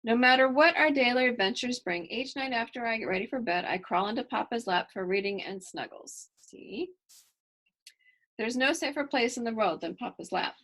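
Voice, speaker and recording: A woman's voice: on the high side, moderate pace, fairly bright tone, low-quality recording. Woman reading a picture book aloud. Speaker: children's story reader. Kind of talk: expressive picture-book read-aloud with chatty asides to children.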